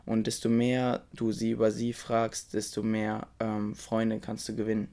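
A young man hosting a podcast, slightly hoarse from a cold, recommending a book in a casual, enthusiastic way and talking straight to the listener. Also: lowish voice, completely flat delivery, unhurried, mellow. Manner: enthusiastic, informal, addresses the listener directly. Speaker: podcast host.

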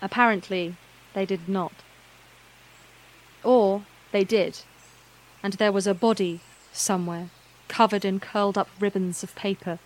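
A woman narrator reading a scripted fantasy tale in a measured, literary, wryly understated manner. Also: medium pitch, fairly even intonation, poor audio.

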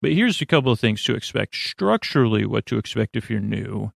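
A man hosting a bedtime podcast in a creaky voice, delivering a halting, meandering monologue meant to lull listeners to sleep. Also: lowish voice, sing-song, no room sound, studio quality. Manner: meandering, halting, drifts off topic. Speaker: podcast host.

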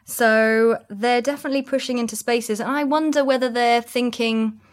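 A woman's voice: a little high, fairly even intonation, moderate pace. A woman co-presenting a podcast, explaining things in a casual, chatty panel discussion.

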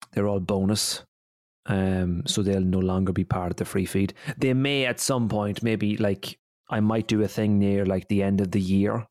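A man's voice: deep voice, a little mellow, no echo, clean studio sound. Rambling, giggly male podcast host. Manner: giggly, rambling with many restarts. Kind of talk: casual podcast chat.

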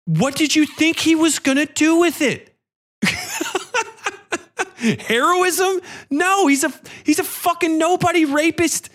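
A man's voice: very high-pitched, expressive, slow, fairly bright tone. A man hosting a podcast, giving casual, opinionated film commentary in a blunt, profane, sarcastic, ranting way. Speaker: podcast host reviewing a horror film.

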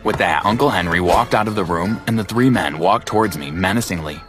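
A man's voice: sits low, a little fast, a bit bright, soft background music. An actor playing a bitter, wounded young man who narrates his own thriller dramatically in the first person. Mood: tense, grim.

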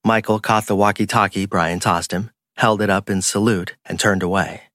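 A man narrates a crime story in scripted audiobook style. His voice sits fairly low, and the recording is dry and close. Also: steady tone, moderate pace, a bit bright, no echo.

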